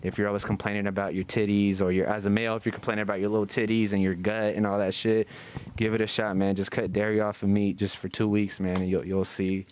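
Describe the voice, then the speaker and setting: A man's voice: on the low side, level tone, quick pace, a little mellow, a little hiss or hum. A man talking casually as a podcast co-host, with slangy, profane speech and frequent "you know what I mean" tags.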